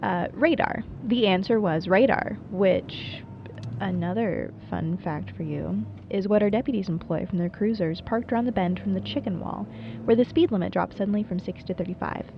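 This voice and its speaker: A woman's voice: mid-range voice, normal speed, gravelly, muffled recording, noisy background, close to an everyday voice. A woman playing a wry, playful small-town late-night radio host in a scripted monologue, chatty and dryly self-deprecating. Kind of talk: scripted radio show monologue, audio roleplay.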